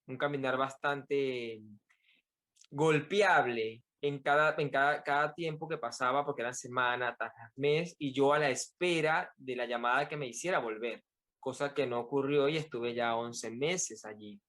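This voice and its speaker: A Venezuelan man with a mid-pitched voice gives a personal account as a podcast guest. His accent is Venezuelan. He is reflective and somewhat halting, repeating words while he finds the next thought. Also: fairly bright tone.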